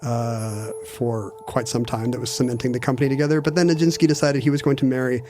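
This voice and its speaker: A man co-hosting a podcast, recounting stories in a chatty way with quick wisecracks. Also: mid-range voice, flat delivery, noisy background. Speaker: podcast co-host. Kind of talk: casual chat, storytelling.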